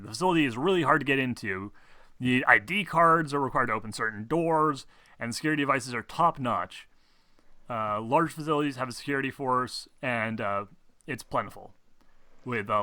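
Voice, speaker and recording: A man with a bright, crisp-edged voice in a dry recording, running a tabletop game. He explains the rules informally as game master. His delivery rambles and hesitates, with casual swearing mixed in. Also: mid-range voice, no room sound.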